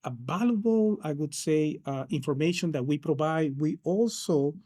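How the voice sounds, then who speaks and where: Man, fairly high voice. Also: moderate pace, dark tone. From Peru. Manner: hesitant, many fillers and restarts. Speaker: case manager counselor. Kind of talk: interview answers.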